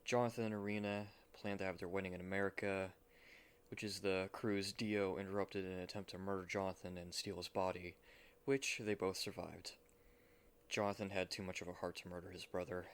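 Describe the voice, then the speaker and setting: A man's voice: sits low, flat delivery, a little fast, clean tone. A man hosting, giving a scripted introduction to a roleplay video. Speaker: channel host and roleplay voice actor. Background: music.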